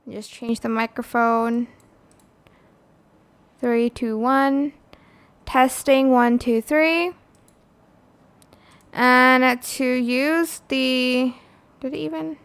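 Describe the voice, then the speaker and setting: High, clear-voiced woman. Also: a bit bright. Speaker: video tutorial host. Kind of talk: casual screen-recorded software tutorial.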